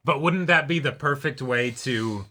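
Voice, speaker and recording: A man's voice: medium pitch, a little slow, sharp tone. A man co-hosting a reaction show, chatting casually with wry, joking remarks.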